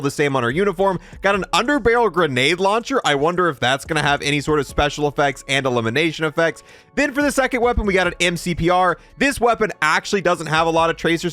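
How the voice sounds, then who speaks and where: A man gives casual video game review commentary. His voice sits a little high, and he talks informally, rambling and thinking aloud as he goes. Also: fairly bright tone, dry recording.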